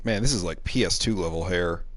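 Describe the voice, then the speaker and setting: A man's voice: low-pitched, brisk, fairly bright tone. A man hosting a game stream with casual, sarcastic and irreverent chat. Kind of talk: casual chat over gameplay.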